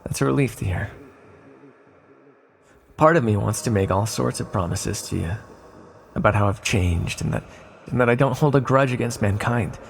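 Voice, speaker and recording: A man playing an ancient sealed villain in an ASMR roleplay, speaking hesitantly and courteously in gentle, secretive persuasion with a gravelly voice. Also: lowish voice, moderate pace, long pauses, a little hiss or hum. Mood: relieved, earnest.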